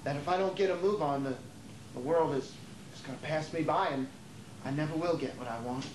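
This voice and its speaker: A man's voice: a little high, fairly quick, lightly acted. Hesitant, weary aging dreamer played by a man, distant. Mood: wistful, weary. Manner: hesitant, stammering, repeating words. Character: Fred, wistful aging would-be actor, nervous and weary. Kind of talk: amateur stage play acting. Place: theater during a rehearsal. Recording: distant, echoey, noisy rehearsal tape.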